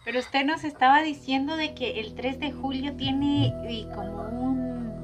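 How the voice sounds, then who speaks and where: A woman's voice: sits high, measured pace, soft background music. A Colombian woman with a slight Chilean touch in her accent, hosting a faith podcast in a friendly, eager way. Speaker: podcast host.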